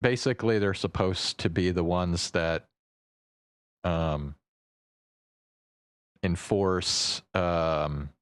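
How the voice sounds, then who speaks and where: A man with a deep, clean voice chats casually on a livestream. He talks slowly and haltingly, rambling with offhand swearing. The sound is close and dry, with soft music behind him. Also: smooth, no echo. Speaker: live streamer.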